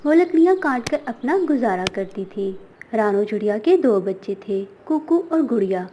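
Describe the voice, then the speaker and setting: A woman's voice: a little high, lively intonation, moderate pace, mellow, slightly rough. A woman reading a scripted children's story as its narrator.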